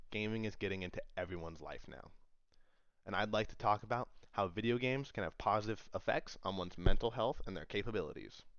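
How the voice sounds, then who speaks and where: A man's voice: sits low, a bit bright, smooth, dry recording, dull audio. A young man giving an informal speech to an audience in a conversational, emphatic way, repeating phrases for stress. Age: young adult. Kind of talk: informal public-speaking presentation to an audience.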